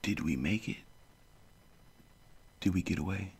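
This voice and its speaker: A man playing an eager, good-hearted frontier doctor with a husky voice in an amateur western audio drama. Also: deep voice, a little slow, full character voice. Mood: weak, dying.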